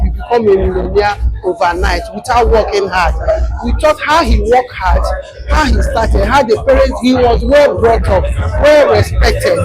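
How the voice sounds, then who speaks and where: A man's voice: very high voice, low-quality recording. A man giving an emphatic, preachy unscripted interview. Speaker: interviewee, audience member.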